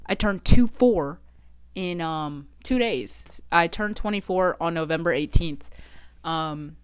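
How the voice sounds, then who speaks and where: A woman's voice: lowish voice, slow, clean tone, no room sound. A woman in her twenties from Texas, chatting casually and swearing often as she vlogs from her bedroom. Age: 20s. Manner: chatty, frequent swearing, self-joking. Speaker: vlogger. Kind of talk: casual solo podcast chat.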